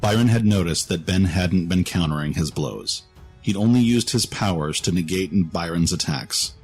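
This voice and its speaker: Male narrator for scripted fantasy fiction; very low register, background music. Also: moderate pace, husky.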